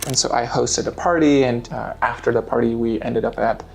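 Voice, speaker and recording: A man in his thirties from Afghanistan, calmly telling his story in an interview. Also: medium pitch, brisk, creaky, a little hiss or hum. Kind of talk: interview answers.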